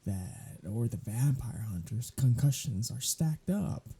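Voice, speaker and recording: A man's voice: mid-range voice, expressive, slow pace, gravelly, warm tone, husky, heavily acted. A man playing a sardonic, weary vampire in an ASMR audio roleplay, talking in a flippant, conversational way. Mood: snarky.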